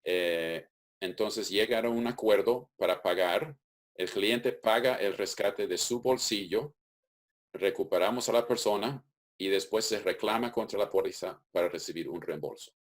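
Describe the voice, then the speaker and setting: Deep-voiced man explaining steadily. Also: measured pace. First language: English. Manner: methodical, steady explaining with occasional restarts. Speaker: webinar presenter. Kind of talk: explanatory webinar presentation. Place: webinar.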